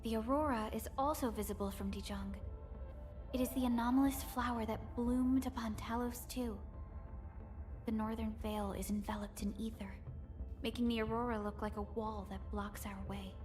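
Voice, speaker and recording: A woman voicing a gentle, earnest young supervisor in a video game, speaking in a measured, formal and wistful way. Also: a little high, fairly even intonation, faint music behind, natural voice. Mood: solemn. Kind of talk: scripted video game character dialogue.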